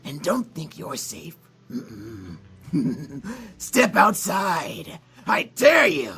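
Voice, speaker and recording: Gravelly, menacing devil villain. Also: male voice, fairly high pitch, huge pitch swings, very slow, faint music behind, heavily acted. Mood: menacing, gleeful. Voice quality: gravelly, harsh. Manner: taunting, shouting, maniacal laughing. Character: menacing male devil villain, mocking, cackling, violent. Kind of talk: visual novel voice acting.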